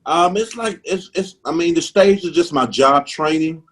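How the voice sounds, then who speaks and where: A man's voice: fairly high pitch, expressive, normal speed, fairly bright tone. Drawling Southern man with a lisp. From Mississippi, US. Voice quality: slight lisp. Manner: laid-back, drawling, says "man" a lot. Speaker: stand-up comedian guest. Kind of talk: casual interview chat.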